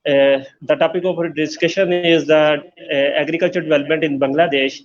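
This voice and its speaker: A man hosting an interview, speaking formally and haltingly with many fillers. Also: on the high side, fairly even intonation, muffled recording. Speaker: host.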